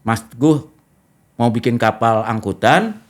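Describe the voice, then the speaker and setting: A man's voice: mid-range voice, animated, a little slow. A middle-aged man, talking casually as a talk show host and explaining step by step. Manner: discursive, explains step by step with history and examples.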